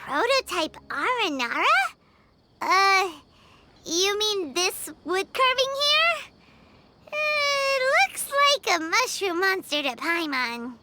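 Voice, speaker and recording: A woman's voice: very high-pitched, sing-song, slow pace, very noisy, full character voice. A woman voicing a small, chirpy, childlike fairy companion with an eager manner in a video game. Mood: puzzled. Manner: refers to herself in the third person. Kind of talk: animated video game dialogue.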